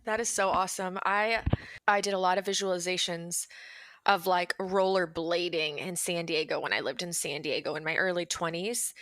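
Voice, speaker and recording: A woman's voice: on the low side, steady tone, normal speed, a bit bright, no echo. A woman hosting a podcast, chatting casually in an effusive, encouraging way. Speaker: podcast host. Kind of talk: casual interview chat.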